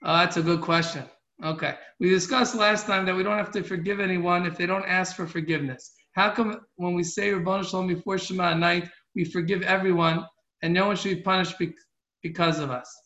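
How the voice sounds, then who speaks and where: This is a middle-aged man with a high-pitched, forceful voice, teaching an informal religious class. He speaks earnestly and haltingly, often repeating a phrase before finishing the thought. The recording is echoey and somewhat muffled. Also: steady tone. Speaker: rabbi giving a class. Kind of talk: informal religious lecture with question and answer.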